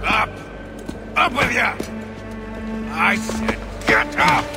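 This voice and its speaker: An actor playing a gruff prison guard in a video game, barking short orders. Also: male voice, high-pitched, wildly swooping pitch, very slow, over music, full character voice. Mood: harsh. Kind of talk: video game cutscene acting.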